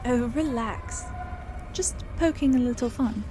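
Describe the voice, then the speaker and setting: A woman's voice: fairly high pitch, measured pace, noisy background, lightly acted. A woman voicing a cool, playful elf adventurer in video game dialogue. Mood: cool, teasing.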